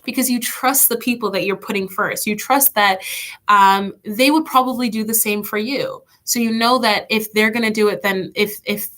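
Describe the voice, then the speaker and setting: A woman's voice: medium pitch, steady tone, normal speed, pushed and bright. Stuffy-nosed woman leading kids' church. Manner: chatty, encouraging, reads out kids' comments. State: stuffy nose from allergies. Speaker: children's church leader. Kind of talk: casual online kids' church lesson.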